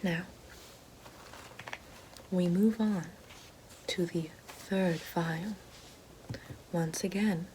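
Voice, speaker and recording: A woman playing a regal, menacing evil queen witch in an ASMR fantasy roleplay, speaking slowly and formally in a soft, breathy, often whispered voice. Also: fairly low pitch, a little hiss or hum, low-quality recording. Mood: solemn, ominous. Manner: slow, deliberate, formal.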